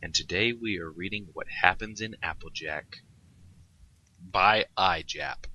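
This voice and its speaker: A man's voice: low-pitched, measured pace, sharp tone, a little hiss or hum, rough recording. A man narrating a scripted story aloud as a co-host. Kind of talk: scripted fan fiction narration.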